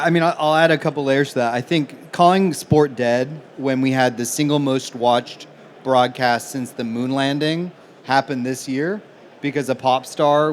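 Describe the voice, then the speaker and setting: A man from Manchester speaking as a panelist, making his case conversationally in a live discussion. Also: mid-range voice. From Manchester, England, now living in the US. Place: conference event panel. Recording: live panel with clear background noise.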